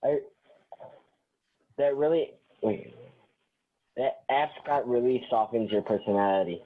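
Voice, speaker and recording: A man's voice: medium pitch, measured pace, phone-quality sound. A man reading a character's dialogue casually at a script table read.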